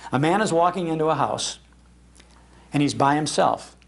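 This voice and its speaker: Older American man, higher-pitched, reminiscing. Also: animated, moderate pace, creaky. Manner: enthusiastic, acts out quoted lines. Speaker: speaker on old-time radio history. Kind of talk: nostalgic storytelling talk to an audience. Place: public library talk.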